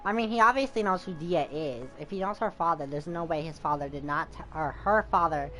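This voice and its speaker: Chatty, lowish-voiced woman streaming a game. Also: brisk, a bit bright. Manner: chatty, talks back to characters. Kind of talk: casual gameplay commentary. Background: game music.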